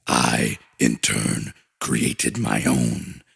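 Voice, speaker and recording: A gigantic ancient monster god in an audiobook. The voice is extremely deep, rumbling and husky, and it speaks slowly, with solemn, commanding weight. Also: male voice, fairly even intonation, fairly bright tone, no room sound, studio quality, heavily acted. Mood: solemn, brooding. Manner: slow, portentous.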